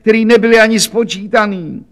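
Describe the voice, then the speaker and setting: Man playing a desperate old washerwoman, weepy and shrill, storybook reading. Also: fairly bright tone. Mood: sobbing. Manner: sobbing, high and breathless. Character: poor elderly washerwoman, wailing and pleading.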